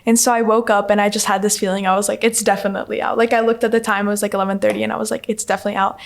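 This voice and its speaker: A woman's voice: medium pitch, little pitch movement, rapid-fire, vocal fry. Young woman from mexico, mid voice, laid-back chatty storytelling. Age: around 20. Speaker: international scholarship student. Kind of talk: casual personal storytelling.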